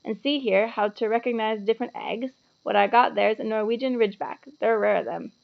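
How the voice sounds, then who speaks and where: A woman's voice: fairly high pitch, brisk, vocal fry, narrow, tinny audio. A woman voicing a burly, friendly male gamekeeper in a children's storybook, with folksy, clipped informal speech. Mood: proud, enthusiastic.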